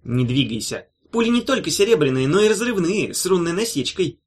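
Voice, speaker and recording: A man reading an audiobook, voicing a pompous, sour male demon guard with a husky voice. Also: high-pitched, big pitch swings, fairly quick, voice pushed up, heavily acted.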